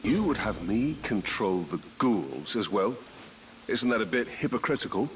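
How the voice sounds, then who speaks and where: An actor playing a grave, skeptical ranger captain in a video game, speaking in a measured, dry, questioning manner. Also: male voice, fairly low pitch, sing-song, slight background noise. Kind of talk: video game cutscene acting.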